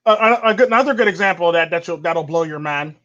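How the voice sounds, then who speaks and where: A man's voice: a little high, fast talker, sharp tone. A man hosting a livestream in casual chat, opinionated, rambling on in long runs and laughing easily. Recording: dull lo-fi livestream audio.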